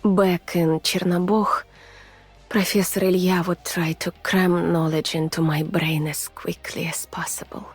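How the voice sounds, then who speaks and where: Slow breathy russian lady scientist, gentle and weary, game character, very close and whispery, music behind. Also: on the low side, flat delivery. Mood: haunted, somber. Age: adult, mature. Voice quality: soft, breathy, often near a whisper. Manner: measured, formal, restrained. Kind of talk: soft, intimate ASMR-like game voice acting.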